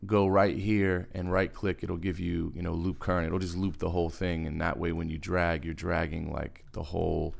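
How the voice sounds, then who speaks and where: A man's voice: sits low, flat delivery, normal speed, vocal fry, few pauses. A man giving a casual music production tutorial in laid-back slang, rambling off into asides as he goes. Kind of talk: casual screen-recorded tutorial. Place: indoors, voice-over on a screen recording. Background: music from the software.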